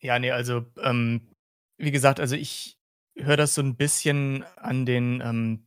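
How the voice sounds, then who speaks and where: A man's voice: medium pitch, fairly even intonation, measured pace, smooth, no room sound, studio quality. A man from Hesse in Germany chats casually as a podcast host, explaining things in a rambling way.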